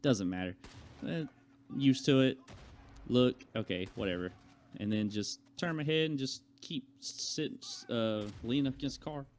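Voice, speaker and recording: A man's voice: medium pitch, normal speed, darkish tone. A young adult man chatting casually to viewers as a vlogger, telling a rambling personal story in a conversational way. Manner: conversational, acts out quoted remarks.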